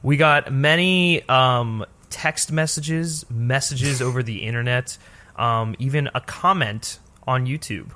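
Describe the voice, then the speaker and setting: A man's voice: medium pitch, slow pace, bright, forceful tone. A man co-hosting a casual review show, talking in a rambling, conversational way. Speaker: podcast co-host.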